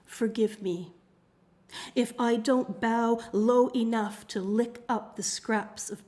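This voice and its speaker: A woman performing spoken-word poetry at an open mic, with deliberate, measured and impassioned delivery. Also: fairly high pitch, a little mellow.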